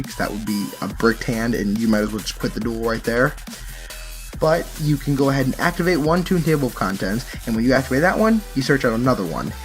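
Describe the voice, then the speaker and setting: A man talks casually, explaining things step by step like a hobby card player presenting a deck. His voice sits at an average pitch for a man. Music plays behind him as he goes.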